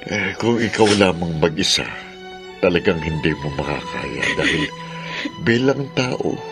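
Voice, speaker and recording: An actor with a Filipino accent playing a gentle, devout father who consoles earnestly in a radio drama. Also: low voice, animated, measured pace, gravelly, full character voice. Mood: earnest consoling. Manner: earnest, counselling. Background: music.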